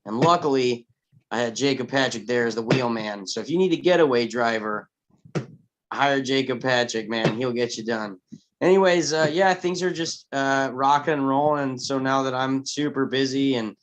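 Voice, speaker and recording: A man's voice: mid-range voice, normal speed. A man chatting casually in jokey, laid-back slang. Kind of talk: casual chat. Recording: noisy background with clicks.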